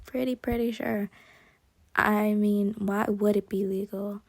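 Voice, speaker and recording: A woman giving casual, rambling commentary while she draws, soft and quiet, self-deprecating and prone to hesitant restarts. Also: mid-range voice, level tone, measured pace, dry recording, studio quality. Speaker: digital artist and channel creator. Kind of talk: casual commentary over a speedpaint.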